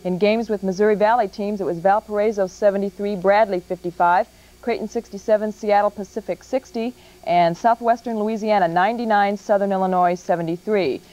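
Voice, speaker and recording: An American woman anchors a TV sportscast, reading from a script. Her pitch is mid-range for a woman. The recording is muffled and lo-fi, like an old broadcast tape. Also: fairly even intonation, fairly quick, warm tone. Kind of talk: scripted TV newscast sports scores.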